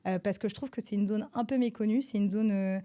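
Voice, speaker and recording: A woman from France, a government advisor, answers interview questions in a hesitant, halting way with many filler sounds and restarts. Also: mid-range voice, warm tone. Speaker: government advisor, podcast guest.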